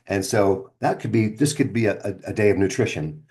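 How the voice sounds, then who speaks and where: Low-pitched middle-aged man telling his story. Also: fairly quick, a little mellow. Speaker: speaker and storyteller presenting own brand. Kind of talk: casual first-person storytelling to camera.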